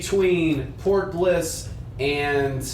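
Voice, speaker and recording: A man's voice: on the high side, very slow. A man chatting casually as a player at a tabletop role-playing game. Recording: roomy, distant mic, clear background noise.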